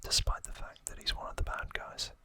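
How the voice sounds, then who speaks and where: A man whispering in a soft, breathy, deliberate way as he hosts ASMR trivia, moving between reading and casual asides. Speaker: host of an ASMR channel.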